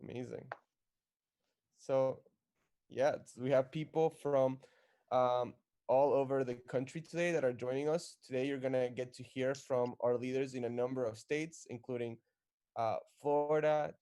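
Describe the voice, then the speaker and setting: A man co-hosts an activist live stream with a rallying, energetic delivery. His voice is mid-pitched and warm, with a mellow edge. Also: unhurried, smooth.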